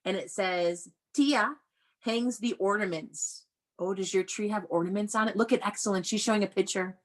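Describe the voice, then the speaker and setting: A woman's voice: mid-range voice, normal speed. Woman teacher reading aloud to children. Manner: animated, explanatory, addressing children with questions. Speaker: elementary school teacher. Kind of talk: read-aloud lesson to young children.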